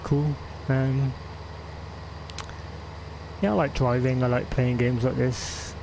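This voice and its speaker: A man's voice: mid-range voice, flat delivery, takes it slowly, creaky, noisy background. A man with a West Country English accent chatting casually while streaming a game, rambling and laughing often. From England. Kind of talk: casual live-stream chat.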